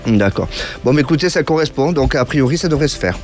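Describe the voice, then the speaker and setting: A man's voice: medium pitch, animated, quick pace, faint music behind. A man hosting a radio call-in consultation, reassuring callers while hesitating with many fillers and restarts. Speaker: radio clairvoyant host taking calls.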